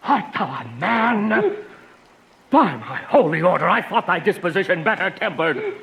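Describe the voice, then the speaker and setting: A man's voice: sits high, expressive, unhurried, vocal fry, fairly bright tone, slight background noise, exaggerated voice. A man playing a stern holy friar giving counsel in a Shakespearean radio drama, with emphatic, exhorting theatrical delivery. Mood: scolding.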